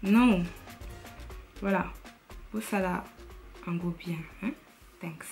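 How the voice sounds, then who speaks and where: Young female beauty vlogger with an Italian accent, casual and chatty, to camera in a bedroom, soft music under. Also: lowish voice, takes it slowly. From Italy. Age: young adult. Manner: chatty, mixes French and Lingala, frequent fillers. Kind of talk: casual makeup tutorial chat. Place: bedroom, indoors.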